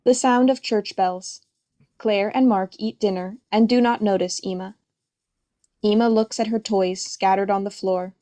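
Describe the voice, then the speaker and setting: A woman's voice: medium pitch, steady tone, unhurried, mellow. A woman reading stage directions as scripted, present-tense narration for a play.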